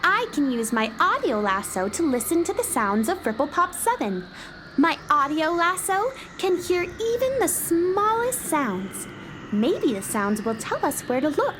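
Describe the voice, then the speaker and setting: A woman's voice: sits high, big pitch swings, unhurried, background noise. A woman playing a plucky, curious little cowgirl in a children's space radio adventure, with earnest childlike delivery, over music. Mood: thoughtful.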